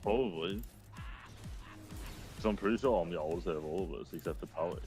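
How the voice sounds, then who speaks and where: A man's voice: deep voice, fairly quick, a little mellow, faint music behind. A man nearing thirty chatting casually about games, loose and profane, with many filler words. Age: 20s. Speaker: co-streamer. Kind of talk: casual gaming chat.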